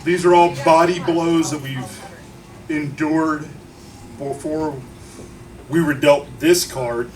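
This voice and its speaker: A man delivering an informal, emotional eulogy to a gathering in halting, broken phrases. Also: on the high side, fairly even intonation, darkish tone. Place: memorial gathering in a bar. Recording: distant mic, faint venue noise.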